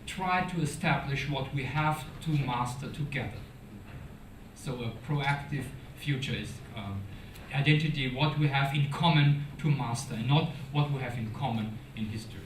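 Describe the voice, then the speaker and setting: A man's voice: mid-range voice, normal speed. A man with a mid-range voice makes an earnest argument on a panel. He is caught from a distance in an echoey hall, with faint noise behind. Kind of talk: panel discussion. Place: conference hall.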